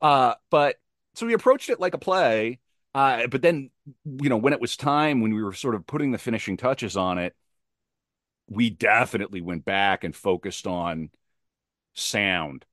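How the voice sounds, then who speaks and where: A man's voice: mid-range voice, animated, moderate pace, a bit bright, no room sound, studio quality. A man speaking as a podcast guest and playwright, talking casually and emphatically about his craft, often rephrasing for stress. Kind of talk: animated casual interview talk about craft.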